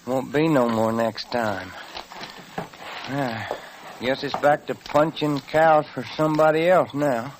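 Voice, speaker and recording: A man's voice: mid-range voice, slow pace, lightly acted. An American man playing a young, hot-headed, plain-spoken rancher with folksy cowhand talk in a vintage radio Western. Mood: bitter, defeated. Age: young adult. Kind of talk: 1940s radio western acting. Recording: old radio recording, faint hiss.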